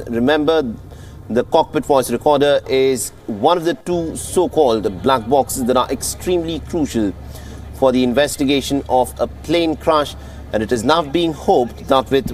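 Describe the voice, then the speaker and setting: A man's voice: mid-range voice, a little hiss or hum. A man anchoring a live breaking news bulletin in a formal, wordy manner.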